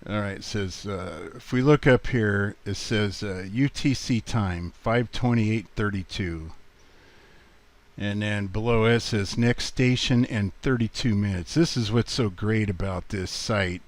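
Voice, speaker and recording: A man giving a casual screen walkthrough in a halting, unhurried way, as a video presenter. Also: on the low side.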